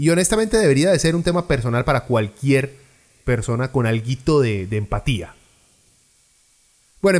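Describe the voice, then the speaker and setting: A man from Peru hosting a podcast, who delivers opinionated political commentary in a blunt, sarcastic way with frequent fillers. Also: mid-range voice. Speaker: podcast host.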